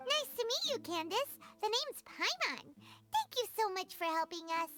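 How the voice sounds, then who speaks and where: A woman's voice: extremely high pitch, sing-song, normal speed, sharp tone, soft background music, full character voice. A woman voicing a tiny, chirpy, childlike fairy companion in an anime game, with a cutesy manner. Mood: cheerful. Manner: cutesy, refers to herself by name.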